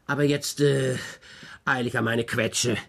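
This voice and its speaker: A man's voice: medium pitch, fairly even intonation, unhurried, creaky, fairly bright tone. An older man playing a whimsical, grumbling grandfather in a radio play, telling tall tales with theatrical emphasis and muttered asides. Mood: dry, whimsical.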